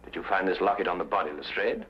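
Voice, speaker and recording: An actor playing a courteous, composed gentleman detective who questions politely in an old-time detective drama. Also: low-pitched, fast, slight background noise, close to an everyday voice. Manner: polite, probing, slightly hesitant questioning. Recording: muffled old radio-era recording.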